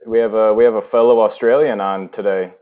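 A man's voice: lowish voice, steady tone, quick pace. Chatty man hosting a voice chat. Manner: chatty, welcoming. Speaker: host of an online improv game. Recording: tinny voice-chat line.

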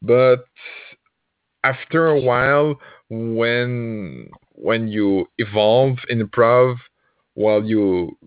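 Slow, hesitant man. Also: mid-range voice, dry recording. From Quebec, Canada. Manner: hesitant, many fillers and restarts, laughs often. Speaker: podcast guest, improv player. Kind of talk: casual chat. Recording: tinny call audio, dry.

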